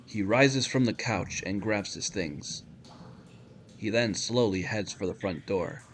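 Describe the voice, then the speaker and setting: A man's voice: fairly low pitch, takes it slowly, a little hiss or hum. A man narrating a scripted audio drama in descriptive storytelling prose. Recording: loud music under the voice.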